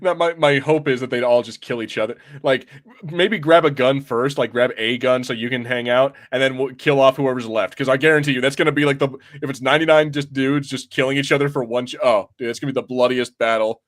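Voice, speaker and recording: A man's voice: medium pitch, steady tone, brisk. A man co-hosting a casual gaming podcast, rambling with lots of restarts and fillers and laughing often. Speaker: podcast co-host.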